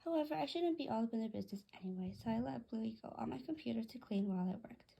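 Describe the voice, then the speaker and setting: A woman narrates a read-aloud story in a high, soft-toned voice with noticeable creak. It is a little husky, and she now and then stumbles and corrects a word. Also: animated, quick pace. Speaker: author reading own story aloud.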